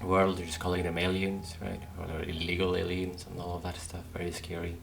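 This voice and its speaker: A man's voice: deep voice, monotone, brisk, vocal fry, slight background noise. A man giving casual gameplay commentary, musing aloud and repeating words as he works things out. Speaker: gaming video host. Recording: music behind the voice. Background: game music.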